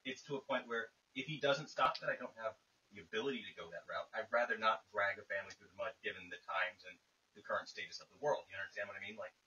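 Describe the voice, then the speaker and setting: A man's voice: on the low side, quick pace, pushed and bright. A man calling someone on the phone, arguing his case insistently in wordy, formal phrasing. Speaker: caller. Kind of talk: tense, argumentative phone conversation. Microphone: phone call. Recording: lo-fi hissy phone call recording, distant.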